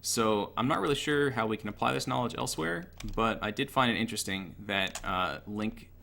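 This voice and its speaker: A man's voice: lowish voice, brisk, vocal fry, sharp tone. A man giving an informal, technical tutorial over gameplay in a matter-of-fact, analytical way. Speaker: gaming tutorial narrator.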